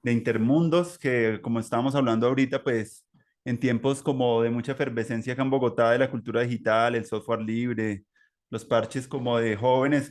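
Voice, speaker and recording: A man with a Colombian accent hosting a reflective, conversational interview, with halting, meandering phrasing full of filler words. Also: mid-range voice. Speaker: interview host.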